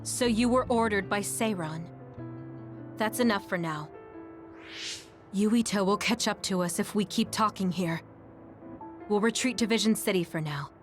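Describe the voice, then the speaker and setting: Game officer, female actor: mid-pitched, composed and authoritative, faint music behind. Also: close to an everyday voice. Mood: firm, composed. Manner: authoritative, brisk orders. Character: composed, firm female military major. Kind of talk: video game cutscene acting.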